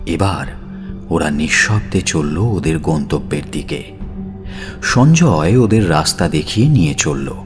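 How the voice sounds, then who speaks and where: A man narrating a dramatic audio story in a theatrical manner, with suspenseful pauses between phrases. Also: low-pitched. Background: background music.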